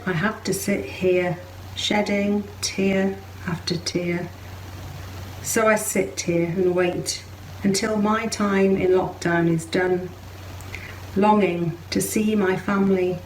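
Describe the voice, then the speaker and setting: A woman giving a gentle recital of a poem. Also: mid-range voice, steady tone, takes it slowly. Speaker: poetry performer. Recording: echoey room, faint background noise.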